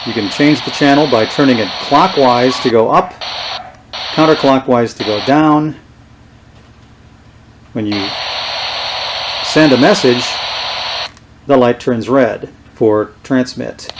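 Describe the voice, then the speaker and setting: A man presenting an unscripted show-and-tell demonstration in a halting, unhurried way. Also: medium pitch, background noise. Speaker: presenter showing vintage radio gear.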